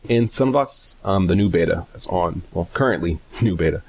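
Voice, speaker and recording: A man presenting a casual, unscripted software tutorial in a hesitant, rambling way, often correcting himself. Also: low voice, expressive, breathy edge. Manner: hesitant, halting, self-correcting, rambling delivery.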